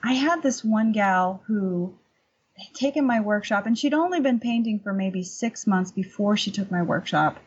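A middle-aged woman chatting casually as an interview guest and painting teacher, telling anecdotes in an animated, emphatic way. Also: medium pitch, moderate pace, slightly rough, muffled recording.

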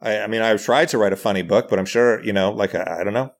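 A man in a casual podcast discussion who thinks aloud, often halting and restarting his phrases. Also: lowish voice, steady tone. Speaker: podcast guest, comedy writer.

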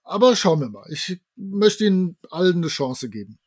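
A man with a notably high voice talks casually as a podcast co-host. He reviews things in a playful, tongue-in-cheek way, as if chatting with a friend. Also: sing-song, normal speed, darkish tone, slightly rough. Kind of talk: casual chat reviewing an audio drama.

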